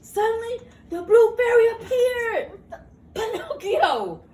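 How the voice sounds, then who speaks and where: High-voiced older woman reading animatedly, roomy recording. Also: measured pace. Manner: expressive, with stumbles and self-corrections. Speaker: grandmother reading to her grandchildren. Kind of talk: animated bedtime storybook reading. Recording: roomy, noisy home recording, far from mic.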